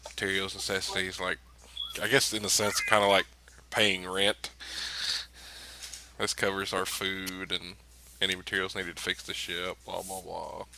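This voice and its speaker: Male tabletop player, low and bright, casual discussion, slight background noise. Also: level tone, a little slow, gravelly, pauses often, rough recording.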